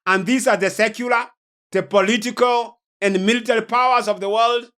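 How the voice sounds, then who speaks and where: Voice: medium pitch, steady tone, fairly quick, fairly bright tone. A preacher delivering a sermon-like lecture on prophecy, solemn and emphatic, repeating phrases for weight. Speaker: preacher and host of a religious teaching series.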